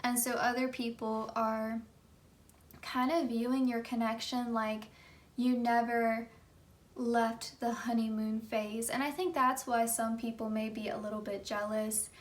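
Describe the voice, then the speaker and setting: Tarot reader, woman, a bit hoarse, fairly high voice, chatty and filler-heavy, mic set back a little. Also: flat delivery, a little slow, distant mic. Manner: chatty, many fillers like "you know". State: hoarse from just waking up. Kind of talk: pick-a-card tarot reading.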